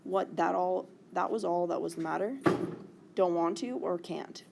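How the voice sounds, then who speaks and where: A woman's voice: lowish voice, a little slow, creaky, noisy background. A woman reading the part of an earnest, stubborn teenage boy hero in a storybook. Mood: exasperated.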